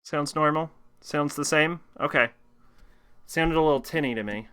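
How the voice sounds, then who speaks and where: A man's voice: on the high side, moderate pace, bright, forceful tone, clean tone. A man streaming live, chatting casually and ramblingly with his viewers. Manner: chatty, rambling, talks to his chat and answers questions.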